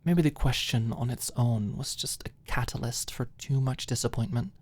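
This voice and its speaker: A woman's voice: very deep voice, moderate pace, a little mellow. A woman narrating scripted fiction as an ASMR audiobook storyteller.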